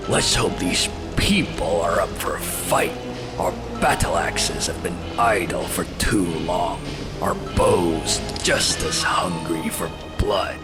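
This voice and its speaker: An actor playing a bloodthirsty raider captain in an audio drama, commanding and menacing. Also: male voice, low voice, slow, over loud music. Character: bloodthirsty raider captain rallying his oarsmen. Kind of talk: full-cast audio drama acting.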